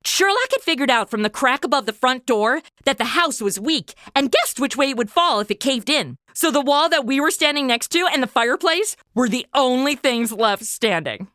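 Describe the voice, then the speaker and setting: A woman playing a brash, excitable, chatty young woman who hosts a comedy audio drama. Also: high voice, moderate pace, hardly any pauses, no echo, studio quality. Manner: exclamatory, chatty, teasing. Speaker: show's lead and host.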